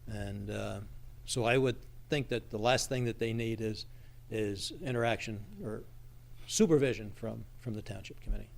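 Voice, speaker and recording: A man's voice: fairly low pitch, moderate pace, creaky. A man arguing his view off the cuff as an advisory member at a public meeting, emphatic and opinionated. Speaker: advisory committee member presenting a report. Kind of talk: unscripted discussion at a public meeting. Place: public township meeting room.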